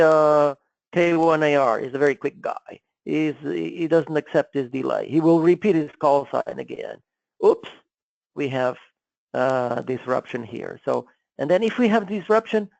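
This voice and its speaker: A man giving an informal technical lecture, explaining step by step in a halting, repetitive way and often checking that listeners follow. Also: a little high, gravelly, a little mellow, dry recording, dull audio. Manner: halting, repetitive, checks understanding with frequent "okay?".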